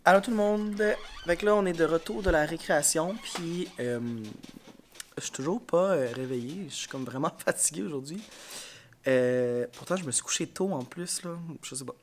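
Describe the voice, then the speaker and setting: A man with a Quebec French accent talks to his vlog camera from a classroom, his voice a little high for a man and congested. He rambles in a tired, frank, chatty way, with a faint hiss behind him. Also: moderate pace. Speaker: elementary school teacher. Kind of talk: casual vlog talk to camera. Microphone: camera mic.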